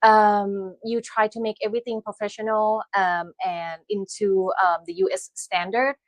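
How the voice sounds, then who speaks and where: A woman's voice: mid-range voice, flat delivery, normal speed, dry recording. Thai-accented woman guest, dry sound. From Thailand. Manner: hesitant, many fillers, non-native grammar. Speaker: guest, aesthetician. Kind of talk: casual interview.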